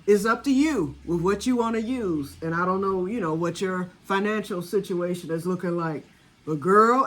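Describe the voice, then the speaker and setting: A woman in her sixties, chatting casually to camera about her homemade batch, rambling and talking straight to her viewers. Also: medium pitch. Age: 60s. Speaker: home vanilla maker.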